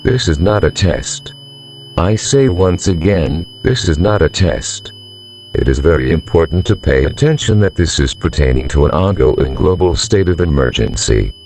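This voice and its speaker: A man's voice: deep voice, lively intonation, normal speed, a little mellow. A man playing a grave presidential representative who delivers a formal, solemn doomsday broadcast as a scripted emergency announcement. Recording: humming, faintly noisy audio.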